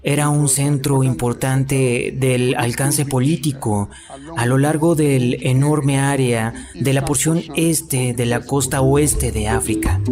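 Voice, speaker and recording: A man with a Latin American Spanish accent reading scripted documentary narration as an amateur voice-over. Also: medium pitch. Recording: music behind the voice. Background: background music.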